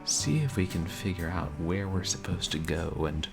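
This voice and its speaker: A man streaming a video game, chatting casually and soft-spoken with viewers, often chuckling. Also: deep voice, lively intonation, moderate pace, creaky. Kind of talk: casual let's-play chat. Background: game music.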